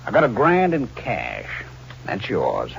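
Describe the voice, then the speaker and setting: An actor playing a sly, needling, falsely friendly crook who schemes murder, in 1940s radio style. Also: male voice, fairly low pitch, huge pitch swings, slow, gravelly, exaggerated voice. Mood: coldly menacing. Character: sly, fat scheming crook plotting his uncle's murder. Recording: dull, hissy old radio recording.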